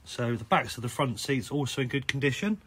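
A hoarse British man, his voice rough and a little husky, chats easily through a car walkaround. He sits at an ordinary middle pitch and keeps a relaxed, listing manner, recorded on a handheld phone inside a showroom. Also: fairly quick. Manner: relaxed, chatty listing of features. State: hoarse, croaky voice. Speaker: car salesman. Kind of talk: walkaround car sales video. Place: indoor car showroom with tiled floor.

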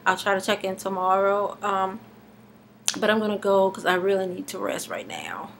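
A stuffy, slightly hoarse woman in her thirties rambles to her webcam from the living room, stopping often to restart her sentences. Her pitch sits mid-range and a faint hum of room noise runs behind her. It feels like an unpolished home video diary. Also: animated, fairly bright tone. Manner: halting, many fillers and restarts. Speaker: vlogger. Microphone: webcam at arm's length.

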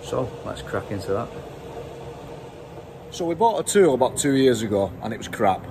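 Blunt, rambling British mechanic, forties, filming himself on a phone, noisy workshop behind. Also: male voice, medium pitch, very animated, normal speed. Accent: British English. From United Kingdom. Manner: blunt, chatty, rambling with fillers. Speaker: car mechanic vlogger. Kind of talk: casual vlog chat. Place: indoor car workshop. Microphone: phone held at arm's length.

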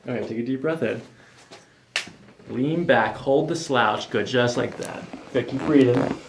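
A man in his thirties, a chiropractor, calmly giving coaxing hands-on instructions to a patient. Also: mid-range voice, a little slow, background noise. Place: small office, indoors. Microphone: microphone on boom stand.

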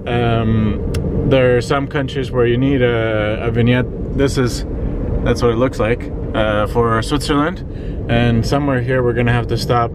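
A man's voice: medium pitch, fairly even intonation, measured pace. A man in his thirties chatting casually in a car vlog, rambling with many fillers and restarts. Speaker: travel vlogger. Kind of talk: casual vlog talk while driving. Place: inside a car on a highway. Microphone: camera mounted on dashboard. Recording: loud road and engine noise.

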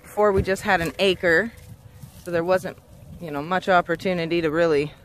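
A woman's voice: lowish voice, steady tone, moderate pace. Lady walking around her farm talking to a handheld cam, rambly with lots of ums, faint outdoor noise. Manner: chatty, with frequent restarts and ums. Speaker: goat farmer and channel host. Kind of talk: casual farm tour vlog. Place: outdoors on a dry rural homestead. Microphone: camera held at arm's length, then walking behind it.

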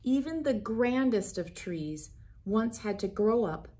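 Tiny motherly storybook caretaker, played by a woman reader: female, tender, slow, reassuring. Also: a little high, lively intonation, dark tone. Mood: tender, earnest. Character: tiny motherly woman, caring guardian of seeds.

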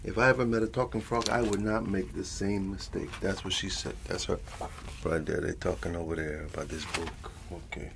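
A man playing an affectionate old grandfather with a Latin American Spanish accent, softly telling a bedtime story in an ASMR roleplay, rambling and repeating endearments as he switches between Spanish and English. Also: low-pitched, fairly even intonation, normal speed, vocal fry, noisy background. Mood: patient, storytelling.

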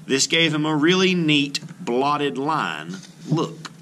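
A man reading a children's book aloud as an art teacher, with expressive, emphatic delivery. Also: fairly high pitch, slow, background noise.

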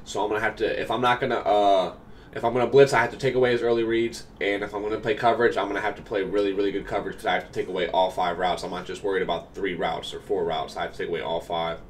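A man's voice: lowish voice, level tone, rapid-fire, slight background noise. A young man in his twenties, slightly nasal from a cold, giving upbeat casual commentary on his own game from a small room at home. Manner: upbeat, explanatory, many fillers. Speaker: gaming coach and YouTuber.

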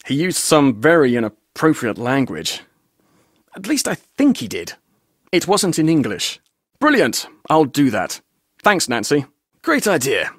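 A wisecracking young tour guide. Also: male voice, fairly high pitch, fairly bright tone, no room sound, studio quality. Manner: breezy, wisecracking, theatrical showman. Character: cheeky young male adventure tour guide, chatty joker. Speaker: game character. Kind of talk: video game voice acting.

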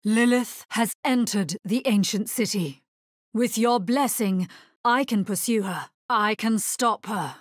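A woman voicing a solemn, resolute necromancer in a dark fantasy video game, with a husky, breathy voice and grave delivery. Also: medium pitch, slow pace, dry recording, clean studio sound. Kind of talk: dramatic scripted game dialogue.

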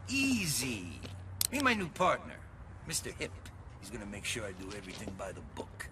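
Sarcastic crooked cop, swinging pitch. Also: male voice, huge pitch swings, moderate pace, crowd noise. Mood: slick, patronizing. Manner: sarcastic, condescending, wisecracking. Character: cynical, mocking corrupt male police detective. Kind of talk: video game cutscene acting.

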